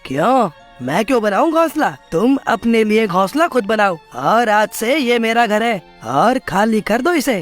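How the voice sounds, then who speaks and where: A woman's voice: a little high, lively intonation, a little slow, few pauses, soft background music, voice pushed down. A woman voicing a lazy, selfish, lying male crow in a children's cartoon story, brusque and bossy. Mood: defiant.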